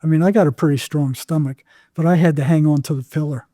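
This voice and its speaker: An older man telling his experiences conversationally as an interview guest, in a halting way with repeated words. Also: a little high, fairly even intonation, mellow, dry recording, studio quality. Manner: halting, repeats words, acts out remembered exchanges. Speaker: psychotherapist guest.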